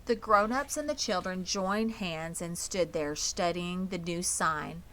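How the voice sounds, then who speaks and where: Bright-voiced woman reading a children's story. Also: on the low side, a little slow. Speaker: audiobook reader. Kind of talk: scripted children's story narration.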